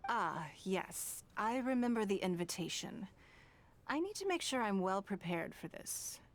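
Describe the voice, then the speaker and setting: A woman's voice: mid-range voice, big pitch swings, measured pace. An actress playing a poised, self-assured wealthy businesswoman in a video game, courteous and shrewd, with composed, formal diction. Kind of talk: scripted video game voice acting.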